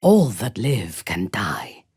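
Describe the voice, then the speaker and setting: A woman playing a haughty, ruthless dark elf warrior in a video game, speaking in an imperious, deliberate, measured way. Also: extremely low pitch, big pitch swings, husky, dry recording, clean studio sound, heavily acted. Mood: poised, intense. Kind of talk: dramatic video game character acting.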